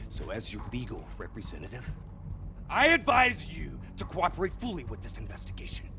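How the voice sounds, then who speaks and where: An actor playing an intense, menacing, short-tempered special forces man in a military shooter game, moving between hushed threats and barked orders. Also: mid-range voice, wildly swooping pitch, a little fast, background noise. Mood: menacing, probing.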